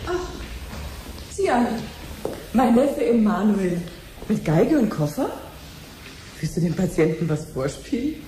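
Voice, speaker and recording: An actress playing a shrewd middle-aged doctor and aunt in a 1970s radio play, dry and precise. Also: female voice, mid-range voice, very animated, slow, long pauses. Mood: wry. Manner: dry, gently mocking, precise. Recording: faintly noisy 1970s radio recording.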